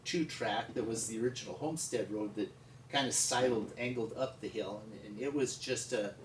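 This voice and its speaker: An American man telling stories in an unscripted, conversational way, with a rambling, halting delivery. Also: fairly low pitch. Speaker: paleontologist and museum founder telling stories. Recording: echoey, distant mic with background noise.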